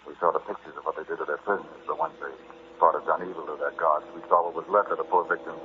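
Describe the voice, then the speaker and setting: A man's voice: a bass voice, steady tone, very fast. An actor in an old-time radio drama playing a flippant, stubborn explorer husband who hides his fear, telling his tale in a wry, conversational way. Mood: defiant. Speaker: narrator and lead actor. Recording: muffled, noisy vintage radio recording.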